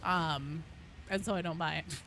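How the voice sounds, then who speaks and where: A woman's voice: deep voice, a little slow, fairly bright tone, a little hiss or hum. A woman streaming a game, chatting casually in a blunt, rambling, sweary way as she plays. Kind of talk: casual chat while playing a game live.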